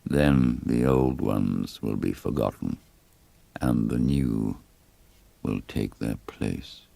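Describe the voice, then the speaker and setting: A man reads as a cadaverous village mayor in a ghost story, extremely low and gravelly. His speech is measured, formal and coldly polite, with quiet menace in it. The sound is close and dry. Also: no echo, heavily acted. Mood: grave, regretful.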